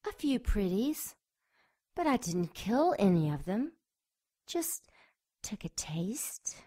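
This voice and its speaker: A woman's voice: mid-range voice, sing-song, slow, warm tone. A woman playing a seductive, predatory winged succubus in an audio drama, with a sultry, taunting manner. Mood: defiant, scornful.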